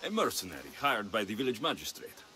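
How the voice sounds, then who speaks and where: An actor playing a confident mercenary in a video game, terse, dry and matter-of-fact. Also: male voice, on the low side, very animated, fast. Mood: dry, curious. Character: confident male mercenary for hire, dry and steady. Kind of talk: video game cutscene acting.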